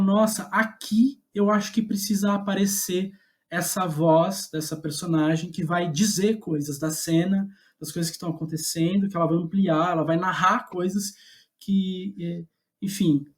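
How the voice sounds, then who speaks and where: Thoughtful, halting Brazilian man in conversation. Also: high-pitched, darkish tone. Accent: Brazilian Portuguese. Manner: halting, thoughtful, searching for words. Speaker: guest playwright. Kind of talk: reflective podcast interview talk.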